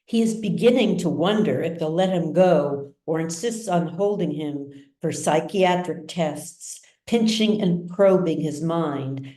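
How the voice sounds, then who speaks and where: A woman narrating a literary story as its author, with measured, dramatic delivery. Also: on the low side, dark tone. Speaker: author reading own novel. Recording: roomy echo, distant mic.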